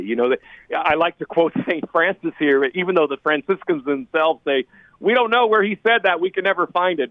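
A man's voice: on the high side, dry recording. American guy, priest being interviewed, earnest storyteller, dry phone-line audio. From California, United States. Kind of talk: conversational radio interview. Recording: narrow phone-line sound.

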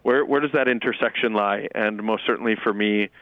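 A Jesuit priest speaking in a radio interview as a guest, reflective and measured, with fillers and restarts. His first language is Lithuanian. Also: fairly low pitch, no room sound. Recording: phone-like narrow-band radio audio.

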